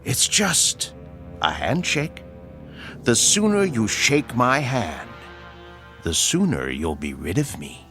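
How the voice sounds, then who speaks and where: An actor playing a mysterious male stranger who speaks in a slow, measured and formal way in a radio drama. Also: medium pitch, wildly swooping pitch, sharp tone, faint music behind, heavily acted. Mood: coaxing.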